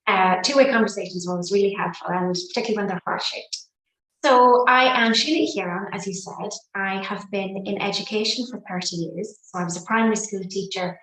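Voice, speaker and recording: A woman's voice: mid-range voice, reverberant, poor audio. An Irish woman in her fifties, a psychotherapist and coach, talking fluently and expressively as an interview guest, with folksy sayings. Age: 50s. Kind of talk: reflective interview talk.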